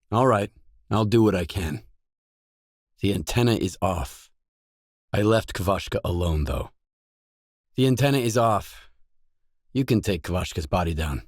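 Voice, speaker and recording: A gruff video game stalker with a low voice and an unhurried pace. He is guarded and self-assured, keeping his lines terse and dry. The sound is close and dry, with no room around it. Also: dry recording. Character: gruff, guarded male stalker, lone survivor for hire.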